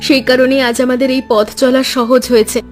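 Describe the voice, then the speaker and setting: A woman voicing a sensible, devoted village housewife in a cartoon story, speaking in an earnest, measured way. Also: high voice, little pitch movement, soft background music, close to an everyday voice. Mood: earnest, reflective. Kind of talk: Bengali animated story voice acting.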